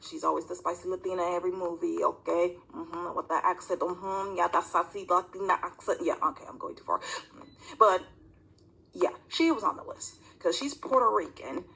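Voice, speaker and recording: A video commentator talking casually and bluntly in a rambling, self-interrupting way, with a husky, creaky voice, recorded on a phone. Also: medium pitch, normal speed, pushed and bright, poor audio.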